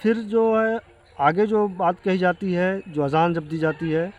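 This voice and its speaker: A man with an Indian accent giving an earnest explanation to viewers, speaking haltingly and repeating himself. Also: sits high, fairly even intonation, a little hiss or hum. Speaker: video creator addressing viewers. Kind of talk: explanatory talk to camera.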